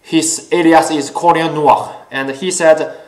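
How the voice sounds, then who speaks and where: A man whose first language is Chinese hosts his own talk show, speaking earnestly with many pauses and fillers. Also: fairly high pitch, fairly even intonation. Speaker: host. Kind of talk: casual solo talk show. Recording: echoey room.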